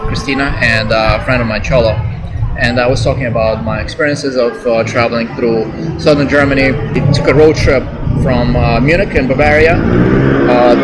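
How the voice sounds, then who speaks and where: A man in his thirties from the United States, hosting a travel vlog in a relaxed, chatty way with fillers, outdoors in a city and then in a restaurant. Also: mid-range voice, poor audio. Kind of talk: casual interview chat.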